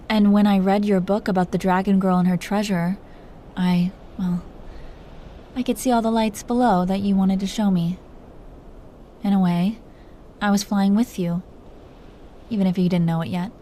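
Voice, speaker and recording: Dragon queen , female performer: mellow, mid-pitched, soft and halting, playfully possessive and affectionate; intimate romantic audio roleplay. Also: level tone, slight background noise, lightly acted. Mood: tender, wistful.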